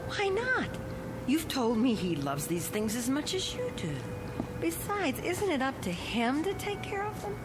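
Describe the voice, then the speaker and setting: An actress playing an exasperated, fearful middle-aged wife in a radio drama, scolding and insistent. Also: female voice, sits high, sing-song, measured pace. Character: exasperated, frightened, nagging wife at her wits' end. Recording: music under the dialogue.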